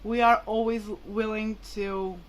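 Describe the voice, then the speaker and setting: A woman's voice: medium pitch, level tone, measured pace. A woman whose mother tongue is Portuguese, talking casually and hesitantly to viewers in a rambling, informal vlog. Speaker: vlogger.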